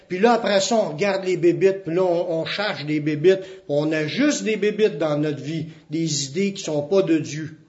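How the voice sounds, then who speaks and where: High-voiced Québécois pastor preaching. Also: male voice. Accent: Québécois French. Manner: earnest, plain-spoken preaching with rising emphasis. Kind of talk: sermon. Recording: dull, muffled lo-fi recording.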